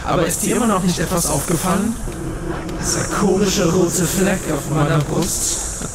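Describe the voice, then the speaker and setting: A man playing a sinister demon that has taken over a young man's body, talking in a cold, taunting way, in an amateur audio drama with loud background noise. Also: high-pitched, moderate pace. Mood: mocking. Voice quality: cold, taunting, sometimes whispering. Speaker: villain.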